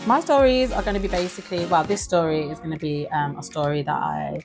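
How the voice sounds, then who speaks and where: A woman's voice: low voice, steady tone, fast talker, vocal fry, music in the background. A woman vlogger talking casually and informally to her viewers, hesitant and peppering her sentences with filler words. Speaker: YouTube creator and host. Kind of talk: casual chatty vlog to camera.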